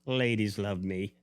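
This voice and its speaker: A man co-hosting a podcast in casual chat, animated and joking, ranting and teasing his co-host. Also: fairly low pitch, no room sound. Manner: animated, joking, rants and teases.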